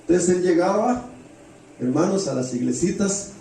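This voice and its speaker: A man's voice: sits high, animated, moderate pace, dark tone. Male preacher in a very echoey hall. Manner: often addresses the congregation with rhetorical questions; repeats phrases for emphasis. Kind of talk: sermon with storytelling. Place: church hall with heavy echo. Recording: very echoey hall, distant mic, faint noise.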